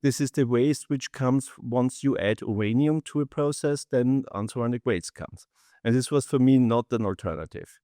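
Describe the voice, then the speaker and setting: A middle-aged man with an Austrian accent, a native German speaker, answering as a founder in an interview with halting non-native phrasing. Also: mid-range voice, warm tone, dry recording, clean studio sound. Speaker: company founder, interview guest. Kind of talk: interview answers, recounting own career.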